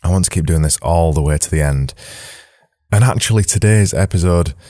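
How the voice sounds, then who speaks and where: Deep hoarse brit guy doing his daily podcast solo, candid and rambly, dry sound. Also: fairly quick, warm tone, dry recording. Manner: rambling, candid, self-deprecating. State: hoarse, sore throat. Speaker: podcast host. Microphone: boom-mounted studio mic.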